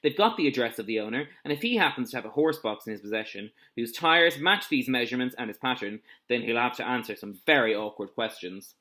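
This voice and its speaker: A man reading the part of a stern yet approving police inspector in a storybook, measured and emphatic. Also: medium pitch, expressive, bright, forceful tone. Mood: pleased, approving.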